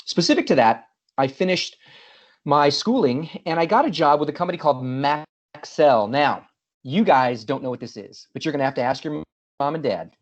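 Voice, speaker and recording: A man's voice: fairly high pitch, expressive, moderate pace, lo-fi sound. A middle-aged man from California, giving a friendly, casual presentation as a guest speaker and often restarting his sentences. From California, USA. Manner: friendly, with many restarts and repeated words. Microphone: video call.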